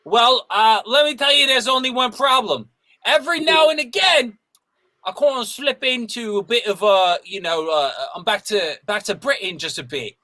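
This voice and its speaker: A woman putting on a tough, casual New Yorker with a bright edge. The talk is insistent and chummy, with a put-on New York accent in an improvised comedy scene. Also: on the high side, moderate pace, dry recording.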